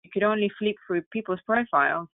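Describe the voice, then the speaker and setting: A woman's voice: medium pitch, quick pace, no room sound. A woman with a Russian accent, telling her story as a company founder in a conversational interview, over a muffled, narrow recording. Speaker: tech company founder, podcast guest. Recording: muffled narrow phone-like sound.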